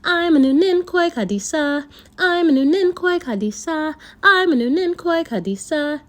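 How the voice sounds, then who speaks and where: A woman's voice: high voice, expressive, normal speed, voice pushed up. A woman voicing an exuberant hat seller man from a folktale, with sing-song calls. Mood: joyful singing. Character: joyful hat seller man, singing and shouting.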